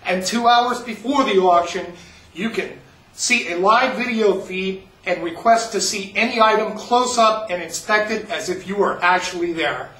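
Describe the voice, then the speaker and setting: A man with a rather high voice does a folksy sales pitch for an upcoming auction, calling his listeners folks as he goes. He sits somewhat off the mic. The room around him rings and sounds roomy. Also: moderate pace, sharp tone, recorded from a distance.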